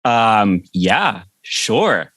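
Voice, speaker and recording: A friendly male composer with a lowish voice who hunts for words, filling gaps with "um" and "uh" as he goes. The sound is dry and close. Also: sing-song, very slow, a bit bright, no room sound. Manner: hesitant, full of fillers, friendly. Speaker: composer, guest. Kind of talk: casual interview chat.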